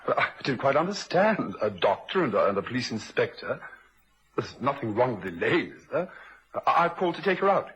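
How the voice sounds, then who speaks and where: Young male voice, anxious polite suitor type, lively swooping intonation, halting, emotive, vintage radio drama. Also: mid-range voice, dull audio. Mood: uneasy. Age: young adult.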